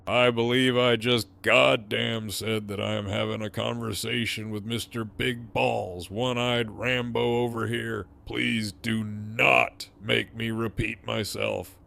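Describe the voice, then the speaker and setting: Man as menacing male gang leader for audio drama: unhurried, gravelly, mocking and profane. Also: lowish voice, little pitch movement, bright, forceful tone, full character voice. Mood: smug threatening. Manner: taunting, theatrical, drawn-out delivery.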